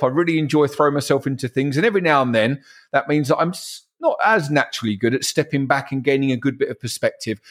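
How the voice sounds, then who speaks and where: A man hosting an interview, asking long, energetic questions full of restarts. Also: fairly high pitch. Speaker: interview host.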